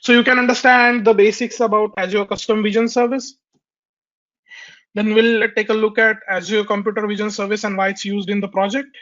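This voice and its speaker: A man with a fairly high voice walks listeners through a technical webinar, his voice nasal from a cold. He hesitates often, filling gaps and restarting phrases. The sound is muffled and lo-fi, like a webinar conference call. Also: flat delivery, fairly quick, fairly bright tone. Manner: hesitant, many fillers and restarts. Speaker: webinar presenter. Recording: dull lo-fi webinar audio.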